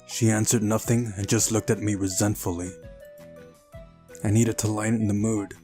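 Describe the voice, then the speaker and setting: A man with a fairly low voice reads through a visual novel as a let's play host. He slips between narration and incredulous, exasperated commentary, with game music faintly behind him. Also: little pitch movement, normal speed, mellow. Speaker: video game playthrough host.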